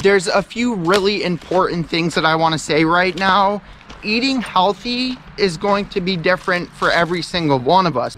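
A man's voice: fairly high pitch, fairly bright tone, slight background noise. A young man, chatting casually and earnestly to the camera as a vlogger, rambling with lots of fillers. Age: young adult. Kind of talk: casual vlog talk to camera. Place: kitchen indoors, then outdoors on railroad tracks in woods.

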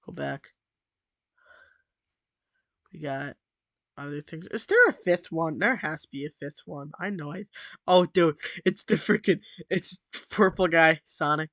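A man's voice: fairly high pitch, expressive, unhurried, long pauses, no room sound. A boy in his early teens rambling casually through commentary over a screen recording, full of "like" and "dude". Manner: rambling, distracted, lots of "like" and "dude". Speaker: channel host. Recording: loud background music.

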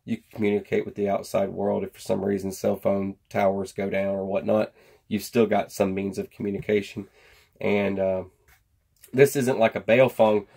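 A man's voice: lowish voice, little pitch movement, moderate pace, gravelly, dark tone, husky. An American man giving a casual, rambling product chat to camera in folksy slang. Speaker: survival gear vlogger. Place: indoor workshop at a workbench.

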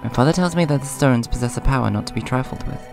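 A man with a hoarse voice casually hosting a let's play, rambling a little and reading game dialogue aloud in a subdued way. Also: medium pitch, fast. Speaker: gaming video host. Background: video game music.

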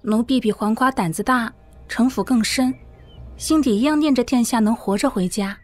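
An actress playing a frail, clever young maid in an audio drama, a devoted yet scheming servant. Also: female voice, on the high side, normal speed, faint music behind, natural voice. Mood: wistful, composed.

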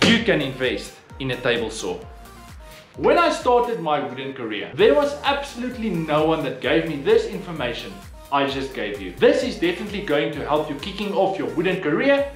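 Male DIY presenter, very lively swooping intonation, emphatic, small echoey workshop. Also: a little high. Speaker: DIY woodworking channel host. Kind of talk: presenting tool advice to camera. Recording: echoey room with background music.

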